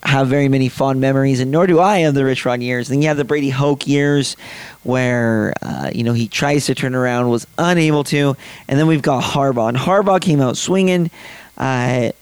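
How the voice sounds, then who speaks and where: A young man hosts a casual sports podcast in a slightly raspy voice, his throat worn from late nights. He rambles through his thoughts, full of fillers and self-corrections, at an everyday middle pitch. The recording is dry and close, with no room sound. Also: normal speed, no echo. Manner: rambling, many fillers and restarts. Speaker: podcast host.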